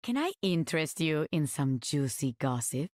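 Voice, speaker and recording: A woman's voice: deep voice, big pitch swings, moderate pace. An actress voicing a sly, teasing female hacker in a video game, coy and taunting.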